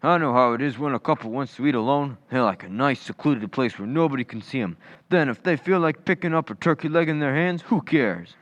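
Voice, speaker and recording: A man playing an eager, folksy, bumbling high-school boy in an old-time radio comedy, with an American accent. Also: lively intonation, a little fast, a bit bright, muffled recording, close to an everyday voice.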